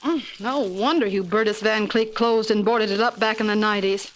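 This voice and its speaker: An actress playing an uneasy, cautious newspaper reporter in a hissy 1940s radio drama. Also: female voice, mid-range voice, moderate pace, natural voice, poor audio. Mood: curious, uneasy. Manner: wary, questioning delivery. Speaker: radio actor.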